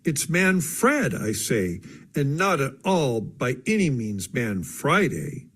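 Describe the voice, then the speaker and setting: A man's voice: mid-range voice, big pitch swings, takes it slowly, a bit bright, breathy edge, heavily acted. A man voicing a peremptory, insistent know-it-all lady.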